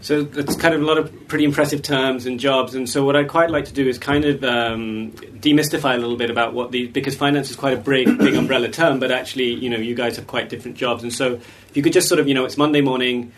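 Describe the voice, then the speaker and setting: A man's voice: mid-range voice, steady tone, fast talker, recorded from a distance, a little hiss or hum. A young man hosting a podcast, introducing and interviewing guests. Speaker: podcast host, MBA student.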